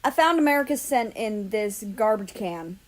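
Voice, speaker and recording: A woman's voice: mid-range voice, expressive, normal speed, natural voice. A woman voicing an eager man on a search in a story reading.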